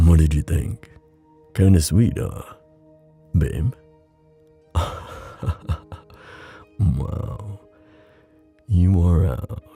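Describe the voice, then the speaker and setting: Male ASMR boyfriend storyteller: very low, gravelly, unhurried, intimate and soothing, soft music underneath. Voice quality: deep. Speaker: ASMR boyfriend roleplay performer.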